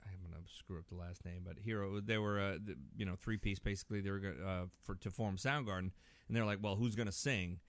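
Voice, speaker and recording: A man's voice: low-pitched, fairly even intonation, quick pace, dry recording. A man chatting casually as a podcast guest, talkative and given to joking.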